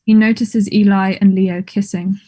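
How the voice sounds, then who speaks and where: A woman's voice: medium pitch, fairly even intonation, moderate pace, warm tone. A woman reading stage directions as scripted narration.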